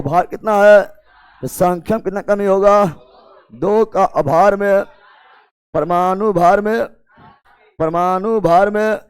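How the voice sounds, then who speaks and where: A man's voice: high-pitched, a little mellow, smooth. A man with a Bihari accent teaching an exam coaching class, repeating key phrases as if dictating and quizzing his students in an informal way. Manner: informal, teasing address to students. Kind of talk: classroom lecture with drilled question and answer.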